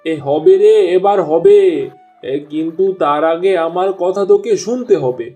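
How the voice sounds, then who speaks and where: A man's voice: high voice, expressive, measured pace, dark tone, faint music behind. A man voicing an eager, friendly village fisherman in a cartoon dub. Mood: jovial. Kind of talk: cartoon dubbing.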